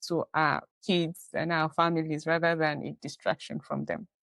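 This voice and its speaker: Low-voiced woman host, encouraging. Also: level tone, moderate pace, gravelly, fairly bright tone, no room sound. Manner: encouraging, frequent agreeing murmurs, laughs easily. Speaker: podcast host. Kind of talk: conversational interview.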